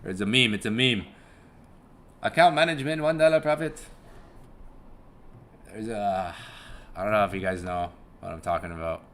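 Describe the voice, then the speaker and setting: Bright-voiced young man rambling on a live stream. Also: on the low side, normal speed, long pauses. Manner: rambling, chuckling, swears casually, repeats himself. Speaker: live-stream trading host. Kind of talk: casual live-stream commentary.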